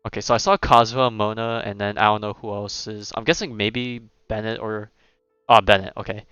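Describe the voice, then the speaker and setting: Low-voiced man streaming, dull dry mic. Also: flat delivery, fairly quick, bright, forceful tone, smooth, dry recording. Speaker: game livestreamer. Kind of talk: casual live stream chat with viewers. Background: game music and cutscene audio.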